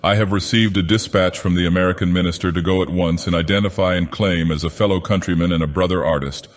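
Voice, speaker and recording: A man's voice: low-pitched, fairly even intonation, fast, close to an everyday voice. A man reading the lines of a brooding young man who speaks curtly and grumbles. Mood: angry. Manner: curt, grumbling. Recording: long reverb.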